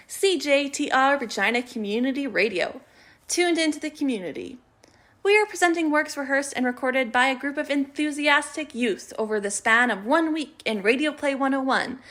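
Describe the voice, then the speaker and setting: A woman's voice: high-pitched, fairly bright tone. A woman reading a scripted introduction as a radio announcer. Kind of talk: scripted narration.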